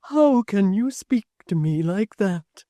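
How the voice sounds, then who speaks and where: A woman's voice: on the low side, sing-song, no room sound, voice pushed up. A woman doing a timid, tearful spinster. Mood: pleading. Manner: soft, faltering, slow and pleading. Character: timid tearful spinster pleading with her friend. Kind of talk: audiobook character voice.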